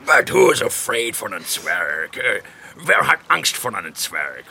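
A jovial, gravelly Santa Claus in a children's radio play. His voice is sharp and forceful and swings wildly up and down, slipping English phrases into his German, with faint noise behind. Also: lowish voice, huge pitch swings, measured pace, heavily acted. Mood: bold.

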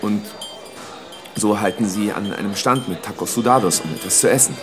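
A man's voice: lowish voice, fairly quick, over music, natural voice. An actor playing a young man who bluntly retells a brutal story about his girlfriend, in coarse words. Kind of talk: radio drama monologue.

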